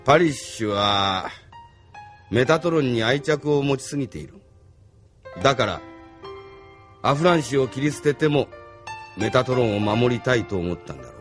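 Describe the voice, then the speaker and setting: A man's voice: medium pitch, animated, normal speed, pauses often, lightly acted. A shrewd, argumentative middle-aged political operator, voiced by an actor in an anime audio drama. His assured voice rises and falls widely, with faint music underneath. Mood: assured, critical.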